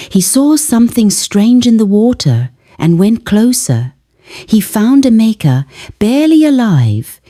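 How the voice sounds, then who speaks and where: A woman storyteller reads a scripted folktale with a mellow tone. Her delivery is unhurried and measured, with lively rises and falls that give it a dramatic shape. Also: mid-range voice. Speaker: storyteller, narrator.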